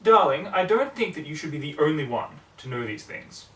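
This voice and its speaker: A man's voice: a little high, big pitch swings, normal speed, voice pushed up. A man voicing a refined, fussy, cheerful female unicorn pony designer in a storybook reading, with a genteel, theatrical manner. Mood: haughty, affectionate. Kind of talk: fan fiction character reading. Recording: roomy, distant mic, faint noise.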